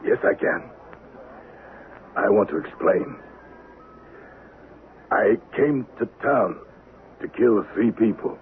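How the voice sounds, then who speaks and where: An actor playing a bitter, domineering older man out for revenge in a Western radio drama, with a menacing, deliberate delivery. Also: on the low side. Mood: dying, weary. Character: vengeful, bitter wealthy old Westerner, cold and domineering. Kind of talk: 1970s radio drama acting. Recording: dull, noisy vintage radio drama recording.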